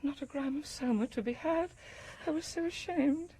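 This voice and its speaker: A woman's voice: normal speed, dark tone, a little hiss or hum, close to an everyday voice. An actress playing a faded, garrulous woman exiled from a sterile future city, rambling tearfully in a radio drama. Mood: wistful, rambling. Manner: gushing, rambling, swings from whisper to shrill cry. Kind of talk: BBC radio drama acting.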